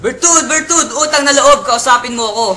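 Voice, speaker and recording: A woman's voice: sits high, fairly quick, pushed and bright, slight background noise. A woman playing a desperate, obsessed jilted young lover in an amateur radio drama, with a Filipino accent.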